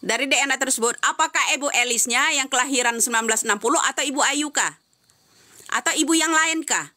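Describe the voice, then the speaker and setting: A woman's voice: sits high, fast, sharp tone. A woman giving heated live-stream commentary, answering comments with insistent, repeated rhetorical questions.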